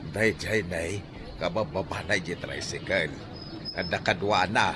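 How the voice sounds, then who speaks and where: A wry middle-aged confidant with an Ilocano accent in a radio drama. His voice is deep and unhurried, set against clearly audible background noise. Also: a crowd in the background. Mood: teasing. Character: older male confidant, slow, teasing, wry.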